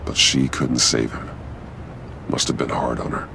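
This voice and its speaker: An actor playing a brooding, stern masked vigilante in a video game, speaking tersely and gravely in a growl. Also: male voice, very deep voice, flat delivery, measured pace, noisy background, full character voice. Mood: grim.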